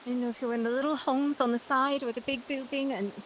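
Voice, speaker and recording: A woman's voice: sits high, level tone, fast talker, lightly acted, poor audio. A woman playing a timid, uneasy townswoman in improvised roleplay, faltering and unsure as she speaks. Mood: flustered. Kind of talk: improvised roleplay in virtual reality. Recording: faint hiss and background noise.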